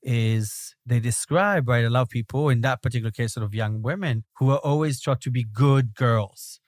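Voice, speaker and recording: A man's voice: medium pitch, normal speed, no echo, clean studio sound. A man from Belgium with a Belgian accent, a rabbi talking as a podcast guest in a discursive way, often checking in with "right?". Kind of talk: reflective conversational interview.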